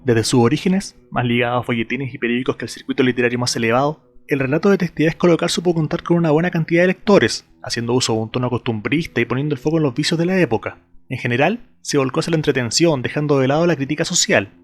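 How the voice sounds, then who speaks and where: A man's voice: medium pitch, fast, soft background music. A man with a Chilean accent, hosting his channel with scripted video essay narration addressed to viewers. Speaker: YouTube channel host.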